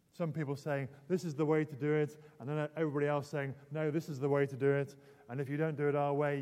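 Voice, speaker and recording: A man's voice: fairly high pitch, darkish tone. A middle-aged British man preaching a conversational, explanatory sermon as a priest, hesitating and repeating words, his voice strained from losing it. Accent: British English. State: losing his voice, throat clearing. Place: large indoor church, long reverb. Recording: reverberant church hall.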